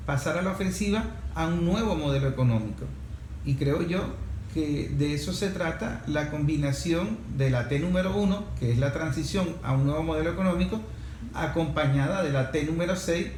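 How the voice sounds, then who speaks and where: A Venezuelan man hosting an interview with deliberate commentary, repeating phrases for emphasis. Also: a little high, a little mellow. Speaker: interview host. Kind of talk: measured political commentary and interviewing. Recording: echoey room, faint background noise.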